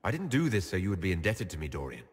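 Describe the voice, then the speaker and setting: Male elf leader, husky, earnest and direct, reverberant. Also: low-pitched, sing-song, racing, heavily acted. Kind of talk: video game voice acting.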